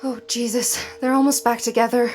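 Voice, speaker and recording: An actress playing a frightened, awed woman explorer in an audio drama, describing her surroundings in hushed, halting phrases. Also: fairly high pitch, level tone, natural voice. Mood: panicked. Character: frightened woman explorer guided over radio, awed and anxious.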